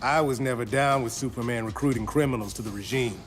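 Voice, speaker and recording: An actor playing a blunt, proud cyborg hero in a video game, with a dry delivery. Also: male voice, mid-range voice, fast, over loud music, lightly acted. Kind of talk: video game cinematic acting.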